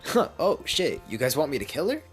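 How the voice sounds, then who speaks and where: A man voicing a brash, crude, wisecracking male mercenary who jokes loudly, over faint music. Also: on the high side, big pitch swings, a little fast, voice pushed up. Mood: jokey. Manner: loud, crude, jokey.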